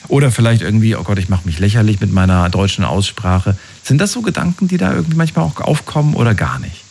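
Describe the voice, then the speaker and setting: A man hosting a casual interview, asking long questions slowly with fillers. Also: lowish voice, big pitch swings, vocal fry, darkish tone. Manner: slow, searching questions with fillers. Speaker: host.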